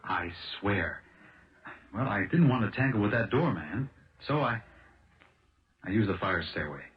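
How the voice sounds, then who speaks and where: A man acting in a 1970s radio drama as an impulsive, fast-talking American car salesman, earnest and halting as he protests his innocence. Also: fairly low pitch, expressive. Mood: regretful, pleading. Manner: halting, earnest, restarts phrases. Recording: dull lo-fi old radio broadcast.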